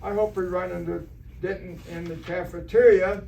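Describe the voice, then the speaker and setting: A man's voice: high voice, fairly even intonation, normal speed, creaky, mellow. A man playing an earnest, naive, fussy biology teacher in an amateur stage re-creation of a radio comedy. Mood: mild. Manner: fussy, earnest, literal-minded. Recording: distant stage recording, faint noise.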